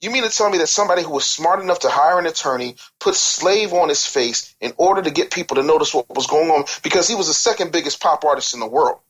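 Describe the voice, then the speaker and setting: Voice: mid-range voice, lively intonation, fast talker, few pauses, fairly bright tone. A speaker in an interview, talking passionately and emphatically, lecturing through rhetorical questions. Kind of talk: passionate interview talk about the music business.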